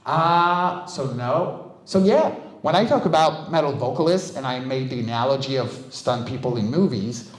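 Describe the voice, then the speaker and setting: A man's voice: medium pitch, expressive, normal speed. A middle-aged man, a native French speaker, giving an informal talk as a guest speaker and voice coach. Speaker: guest speaker, voice director and vocal stunt coach. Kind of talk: casual conference talk. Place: indoor game developer meetup venue. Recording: roomy, echoing event space.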